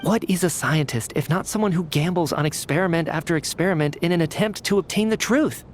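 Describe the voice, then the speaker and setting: An actor playing a calm, rational young male scientist with amnesia, speaking in a composed, reasoned, reassuring way in a video game. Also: fairly high pitch, fairly quick, a little hiss or hum, lightly acted. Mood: resolute.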